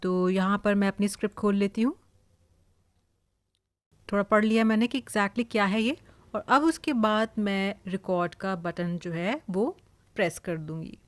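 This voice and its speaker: A woman's voice: mid-range voice, moderate pace, dry recording. An Indian-accented woman who works as a voice-over artist, explaining in a casual tutorial style.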